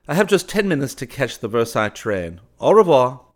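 A man's voice: mid-range voice, big pitch swings, a little fast, pitched above the performer's own voice, close to an everyday voice. A man voicing an easygoing young male art student, breezy and nonchalant. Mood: friendly.

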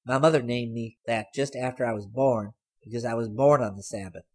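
A man's voice: medium pitch, steady tone, a little fast, darkish tone, slightly rough, lightly acted. A man voicing a brash, teasing teenage girl in an audiobook, speaking bluntly and cockily. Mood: brazen. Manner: blunt, artless, cocky. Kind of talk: audiobook character voice.

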